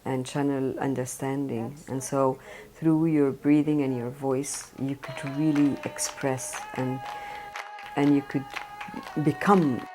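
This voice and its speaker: A woman theatre director talking earnestly in an interview, pausing often with fillers. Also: very deep voice, little pitch movement, music in the background. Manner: earnest, halting with frequent fillers. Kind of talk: documentary interview.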